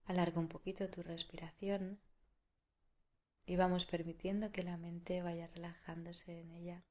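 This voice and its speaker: Low-pitched Spanish woman guiding meditation, even-toned. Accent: Spain. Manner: soothing, unhurried, with long pauses. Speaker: meditation guide. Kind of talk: guided meditation.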